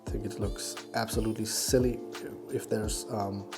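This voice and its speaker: A man with a Danish accent giving a casual hands-on tutorial, haltingly thinking aloud as he works. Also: on the low side, level tone, music in the background. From Denmark. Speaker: fly tying instructor and YouTuber.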